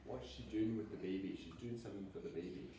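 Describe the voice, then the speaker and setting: Male teacher, mellow, patient and encouraging, classroom read-aloud, strong room echo, faint noise. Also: sits low, fast. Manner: patient, encouraging, simple explanations. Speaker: primary school teacher. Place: primary school classroom. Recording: echoey classroom, distant mic, faint noise.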